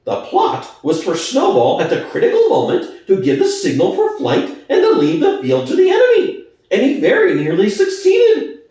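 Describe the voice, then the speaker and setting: A man voicing a sly, excitable propagandist pig in a storybook reading, with theatrical, insistent oratory. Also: very high-pitched, moderate pace, heavily acted. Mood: excited, urgent. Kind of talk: dramatic audiobook reading. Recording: echoey room, distant from mic.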